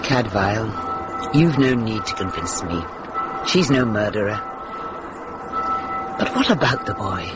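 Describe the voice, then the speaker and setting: An older British actress playing a worldly-wise, firm, compassionate medieval nun in a radio drama, speaking in a measured, gently admonishing way. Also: female voice, rumbling low, animated, over loud music, exaggerated voice. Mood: firm, kind.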